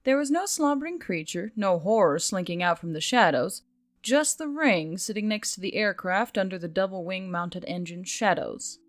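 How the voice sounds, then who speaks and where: A woman's voice: mid-range voice, expressive, normal speed. A woman reading scripted story narration as the narrator, with music behind her.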